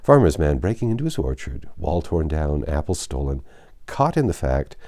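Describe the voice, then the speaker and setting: A man's voice: a bass voice, very animated, normal speed, warm tone. A man voicing a chatty, flustered, deferential older lawyer who rambles eagerly in a classic novel reading. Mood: flustered, searching. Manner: rambling, eager, self-interrupting.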